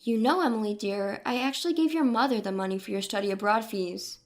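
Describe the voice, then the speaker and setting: A woman's voice: fairly high pitch, brisk, soft background music, lightly acted. A woman voicing a caring but persistent grandmother in dialogue from a narrated story. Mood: apologetic. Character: indignant, caring, persistent grandmother confronting her family.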